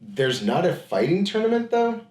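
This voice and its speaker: A man's voice: high-pitched, sing-song, normal speed, darkish tone. A man co-hosting a comedic podcast, chatting casually about films with emphatic incredulity. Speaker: podcast co-host. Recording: echoey room.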